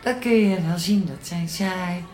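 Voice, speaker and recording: A woman playing a birthday singer in a radio drama, slowly singing a celebratory song. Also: lowish voice, a little mellow, lightly acted. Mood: slow, festive. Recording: echoey, faint noise.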